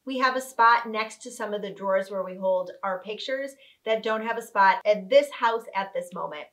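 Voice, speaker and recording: A woman's voice: a little high, fairly bright tone. Upbeat woman giving organizing tips. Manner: upbeat, instructive, clear step-by-step. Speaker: home organizing vlogger. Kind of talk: home organizing tutorial. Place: living room with couch, indoors.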